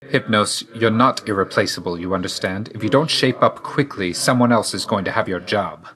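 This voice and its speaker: Man voicing a low-voiced, reserved god of death. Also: a bit bright, natural voice. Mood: stern. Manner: measured, formal, understated. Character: male god of death, reserved, dutiful, weary, quietly fond. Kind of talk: video game character voice lines.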